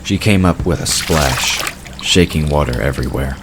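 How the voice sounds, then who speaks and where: Very deep-voiced male storyteller. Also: moderate pace, a bit bright, slight background noise. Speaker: narrator. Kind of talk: scripted narration of a fantasy audio drama.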